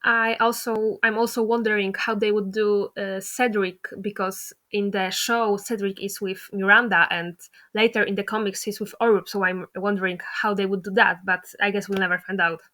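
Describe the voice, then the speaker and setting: A woman's voice: medium pitch, little pitch movement, normal speed, pushed and bright. A woman hosting a casual interview, asking questions in an informal, slightly tentative way.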